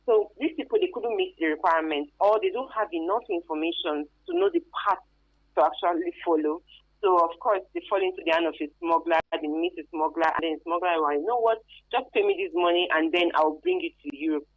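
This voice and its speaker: Animated Nigerian woman, muffled radio sound. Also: lowish voice, fairly quick, no room sound. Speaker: radio guest, anti-trafficking expert. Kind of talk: informal explanatory interview answers.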